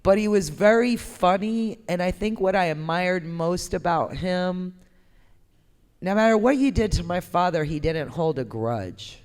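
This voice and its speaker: A woman artist giving a candid, conversational talk, speaking in an informal, emphatic and expressive way. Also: fairly low pitch, unhurried. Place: indoor gallery talk, reverberant room. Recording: echoey hall with long reverb.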